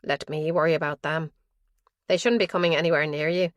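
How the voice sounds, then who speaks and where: A woman voicing a cold, controlling older female police chief in an audiobook, with hard, slow and commanding lines. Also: deep voice, fairly even intonation, dry recording, dull audio, close to an everyday voice.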